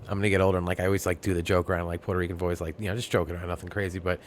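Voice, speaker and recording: Male co-host, low voice, quick rambling crude chat. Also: level tone, a little hiss or hum. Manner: rambling, filler-heavy, profane. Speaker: podcast co-host. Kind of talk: crude comedic banter.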